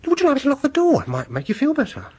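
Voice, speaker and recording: An actor in a British radio horror drama playing a fussy, awkward, quietly intense music lover, with a British accent and a prim, hesitant, stammering way of talking. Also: male voice, extremely high pitch, huge pitch swings, racing, full character voice. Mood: prim, reassuring.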